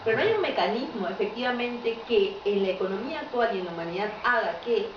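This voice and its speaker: A woman's voice: on the high side, animated, fast, distant mic. A woman from Peru asking a question from the audience at a lecture, hedging and hesitant, often tagging her sentences with "¿no?". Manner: hesitant, hedging, fillers like "¿no?" and "este". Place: lecture room at a university. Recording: dull, echoey room audio from afar, with clear noise.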